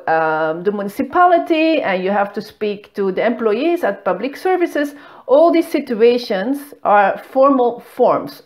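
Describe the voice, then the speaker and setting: Middle-aged woman, school founder giving a beginner lesson, fairly high voice with animated pitch, patient and clear. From not Greece. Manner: patient, clear, stresses key words with lively pitch. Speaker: language school founder and teacher. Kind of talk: explanatory beginner language lesson.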